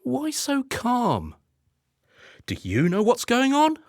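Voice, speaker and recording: A man's voice: very high voice, huge pitch swings, slow, no echo, clean studio sound, full character voice. A man playing an anxious, hard-drinking, henpecked husband in a radio play, wry and theatrical. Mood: anxious brooding.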